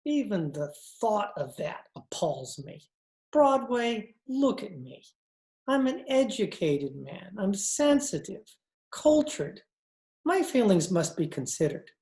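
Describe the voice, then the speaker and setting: An actor playing a cultured, nervous judge and pool hustler, speaking in a fussy, theatrical old-time radio style. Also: male voice, high-pitched, big pitch swings, slow, darkish tone, full character voice. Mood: appalled, protesting. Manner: pompous, fussy, theatrical speech. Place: indoor room, recorded over video call. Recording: roomy, echoing video-call recording.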